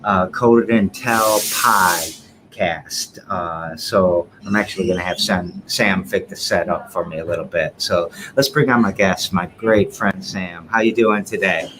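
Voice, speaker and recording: A man with a lowish voice hosts a podcast, chatting loosely and informally with his guest. He keeps things relaxed and friendly. Steady background noise runs under his voice. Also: unhurried. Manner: laid-back, friendly, loose phrasing. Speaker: podcast host. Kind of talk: casual interview chat.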